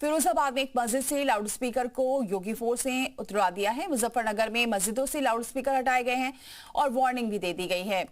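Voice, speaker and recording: A female news anchor with a fairly high voice. She presents emphatically, chopping her sentences into punchy phrases. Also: a little fast.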